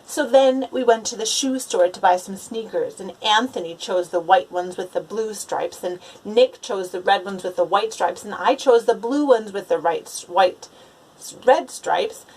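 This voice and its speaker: A woman's voice: mid-range voice, normal speed, darkish tone, breathy edge, poor audio. A woman voicing a grumbling young boy in a children's storybook, complaining emphatically with words spaced out. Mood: exasperated. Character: grumbling, aggrieved young boy narrating his awful day. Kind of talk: children's storybook read-aloud.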